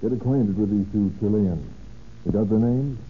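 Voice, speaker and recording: An actor as a gruff police captain with a lowish voice, from 1930s radio. He gives orders in clipped, businesslike slang. The recording is tinny with a faint hiss. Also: normal speed, lightly acted. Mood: brisk, firm. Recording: tinny, hissy old radio broadcast.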